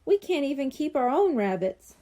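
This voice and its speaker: A woman's voice: high-pitched, big pitch swings, normal speed, dark tone, voice pushed up. A woman voicing a friendly, plain-spoken male petting zoo worker in a children's storybook. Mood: regretful.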